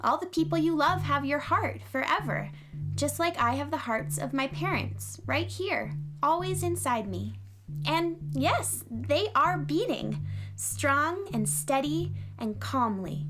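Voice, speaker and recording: A woman's voice: high-pitched, expressive, takes it slowly, a bit bright, exaggerated voice. A woman playing an earnest, optimistic young girl in a children's space radio drama, cheerful and sincere. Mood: tender, hopeful. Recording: music behind the voice.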